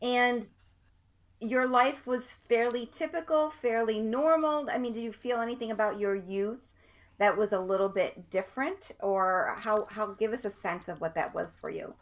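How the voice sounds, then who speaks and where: A woman's voice: fairly high pitch, normal speed. A woman hosting a podcast, an encouraging interviewer who asks questions and gives short affirmations. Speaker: podcast host.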